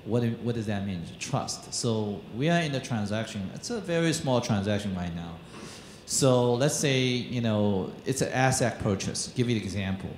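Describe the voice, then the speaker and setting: A man's voice: on the low side, lively intonation, darkish tone, slight background noise. Man speaking in a roomy conference hall. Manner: halting, many fillers and restarts. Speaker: panel moderator. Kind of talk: conference panel talk. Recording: echoey hall with faint ambience.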